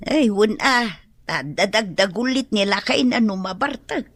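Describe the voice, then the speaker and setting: A woman's voice: mid-range voice, animated, a little slow, a bit bright, dry recording. A woman with an Ilocano accent playing a friendly, coaxing neighbor in a radio drama.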